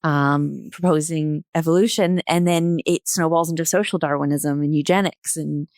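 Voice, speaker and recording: A woman's voice: low voice, fairly even intonation, normal speed, a little mellow, dry recording, clean studio sound. A woman speaking as a podcast guest in a casual, conversational discussion.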